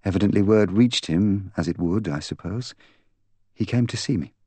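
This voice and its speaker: Audiobook role: proud young woman, bitter and candid, intense delivery, low voice performed by a man. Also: normal speed, mellow, husky, no room sound. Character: proud, bitter young woman confessing to killing her fiancé. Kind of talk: audiobook character dialogue.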